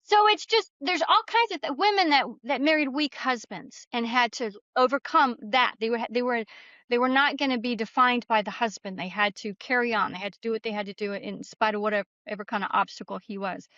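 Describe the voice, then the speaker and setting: A woman's voice: a little high, a little fast, sharp tone, no room sound. A woman speaking as an author and podcast guest, telling stories in a casual, conversational way on a slightly lo-fi recording. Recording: slightly dull, lo-fi sound.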